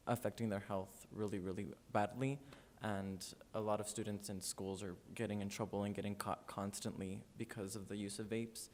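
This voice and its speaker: A nineteen-year-old man hosting a student podcast in a loose, friendly way with many fillers. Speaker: podcast host. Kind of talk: casual student podcast chat. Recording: long reverb, faint rumble.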